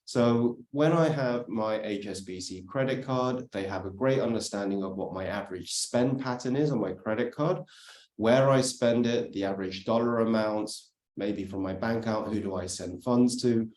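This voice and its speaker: A man's voice: fairly low pitch, normal speed, a little mellow. British man presenting in an echoey room. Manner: explanatory, frequent "let's say" and "for instance". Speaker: conference speaker. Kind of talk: presentation talk.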